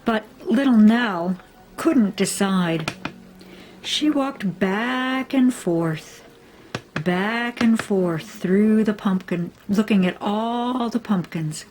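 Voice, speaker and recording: A woman reading a children's story aloud, expressively and deliberately, with dramatic emphasis. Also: medium pitch, a little mellow, poor audio. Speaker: storybook reader. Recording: faint background noise.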